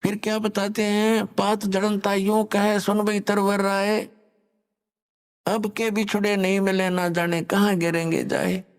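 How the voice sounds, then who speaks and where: Male preacher, high gravelly voice, reciting couplets slowly, long hall reverb. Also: completely flat delivery. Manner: slow, drawn-out recitation. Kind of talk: devotional discourse with recited couplets.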